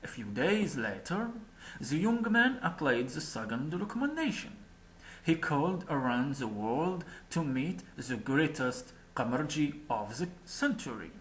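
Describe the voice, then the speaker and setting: Slow, expressive folk tale storyteller. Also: mid-range voice, poor audio. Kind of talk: scripted narration of a folk tale.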